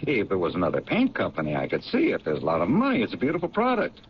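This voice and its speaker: An eager police lab sergeant, stumbling over his words as he rushes through a theory. His pitch swings wildly up and down. The sound is dull lo-fi old radio with a faint hiss. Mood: explaining. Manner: animated, halting, explaining with enthusiasm. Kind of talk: 1970s radio drama acting.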